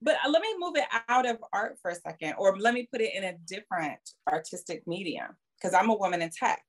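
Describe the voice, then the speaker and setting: A woman's voice: medium pitch, lively intonation, quick pace. A middle-aged woman explaining her views at length in conversation, emphatically, with rhetorical questions and checking tags.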